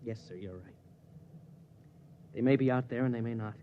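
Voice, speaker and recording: A man's voice: mid-range voice, moderate pace, muffled recording, lightly acted. A man playing an earnest, deferential junior starship officer in 1950s radio acting style. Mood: troubled. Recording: faintly noisy 1950s radio recording.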